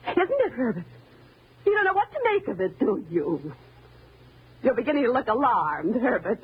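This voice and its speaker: Radio thriller role for a mousy wife turned killer, lightly high voice, bitter and menacing, on a thin, hissy old recording. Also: female voice, sing-song, moderate pace, breathy edge, exaggerated voice. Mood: defiant resolve. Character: timid, resentful wife calmly turned murderous. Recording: noisy, narrow-band old radio recording.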